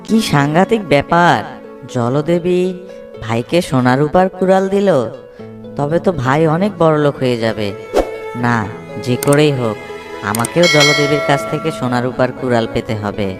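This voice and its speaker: A man playing a woodcutter's lazy, envious brother, musing to himself in an animated moral tale. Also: a little high, moderate pace, lightly acted. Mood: greedy amazement. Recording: music clearly behind voice. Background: plucked-string music.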